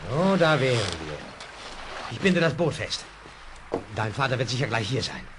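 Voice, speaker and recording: Casting: male actor as a conspiratorial, persuasive schemer for a children's radio play, highly animated delivery, slightly noisy old recording. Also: moderate pace, lightly acted. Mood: urging. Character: secretive scheming man confiding in boys, smoothly persuasive.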